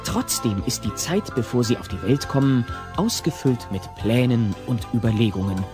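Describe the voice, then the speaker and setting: A man's voice: medium pitch, expressive, moderate pace. A man giving scripted narration for an audio play. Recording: old cassette audio with music.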